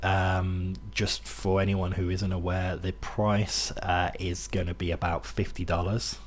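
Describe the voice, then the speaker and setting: A man's voice: deep voice, level tone, a little slow. A young British man from near Birmingham with a loosely mixed British accent, chatting casually to viewers while playing a game, rambling with fillers and restarts. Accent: British, mixed, not Brummie. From England, near Birmingham. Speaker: gaming streamer. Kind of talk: casual gameplay commentary to chat.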